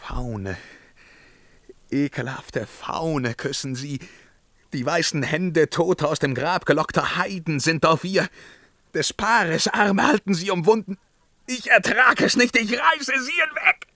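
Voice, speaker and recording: An actor playing a tormented, world-weary emperor in a German verse radio drama, declaiming between outcries and hushed murmurs. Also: male voice, fairly high pitch, slow, no room sound, exaggerated voice. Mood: anguished, feverish.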